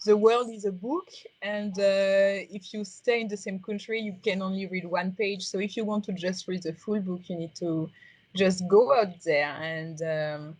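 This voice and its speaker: A woman with a strong French accent, answering interview questions in a reflective, halting way with many ums and uhs. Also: mid-range voice, fairly even intonation, darkish tone, no room sound. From France. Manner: halting, many fillers and restarts.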